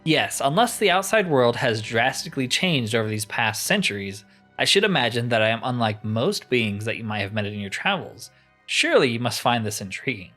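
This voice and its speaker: A man's voice: medium pitch, sing-song, fairly quick, sharp tone, soft background music. A man voicing a haughty ancient master enchanter turned crystal, reading game dialogue in a grandiose, theatrical manner. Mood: self-important. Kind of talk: reading video game dialogue aloud in character.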